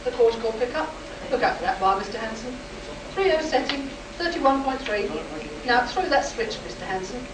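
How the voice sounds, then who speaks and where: An actress playing a skeptical, clinical army psychiatrist in a live sci-fi radio play, questioning with authority. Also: female voice, fairly high pitch, expressive, normal speed, lightly acted. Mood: commanding. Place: live performance in a room at a convention. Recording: roomy, distant, noisy live convention stage recording.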